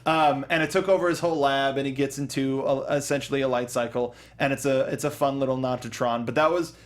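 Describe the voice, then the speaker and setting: Excitable man co-hosting a pop culture show. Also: mid-range voice, quick pace. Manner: enthusiastic, rambling with fillers and restarts. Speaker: podcast co-host. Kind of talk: casual chat.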